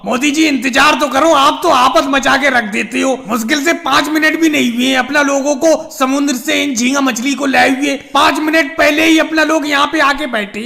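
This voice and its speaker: A man voicing a stubborn, argumentative male politician selling fish in an animated comedy skit. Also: very high voice, normal speed, creaky, hardly any pauses, heavily acted. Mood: reassuring.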